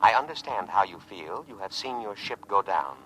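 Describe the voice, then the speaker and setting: A low-voiced, pompous robot lifeboat. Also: male voice, animated, a bit bright, a little hiss or hum. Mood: solemnly consoling. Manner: solemn, measured, grandiose oratory. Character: calm, pompous, paranoid robot lifeboat, male-voiced war machine. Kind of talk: old-time radio drama acting. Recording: muffled vintage radio broadcast recording.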